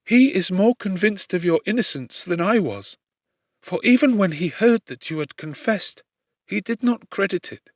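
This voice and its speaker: A man voicing a loyal, grieving young gentlewoman in an audiobook, speaking with earnest, passionate emphasis. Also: sits high, animated, normal speed, no echo, clean studio sound, pitched above the performer's own voice. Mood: earnest reassurance. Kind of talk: audiobook character dialogue.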